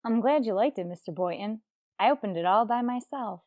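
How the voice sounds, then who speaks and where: An actress playing a wry, lovelorn schoolteacher with deadpan, sarcastic wit in an old-time radio comedy. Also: female voice, on the high side, a little fast, no room sound, muffled recording, close to an everyday voice. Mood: wry, hopeful.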